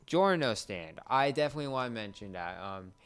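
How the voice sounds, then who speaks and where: A young man co-hosting a casual pop culture discussion, explaining things in a halting, searching way. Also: mid-range voice, animated, fairly bright tone, clean tone. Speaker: podcast co-host.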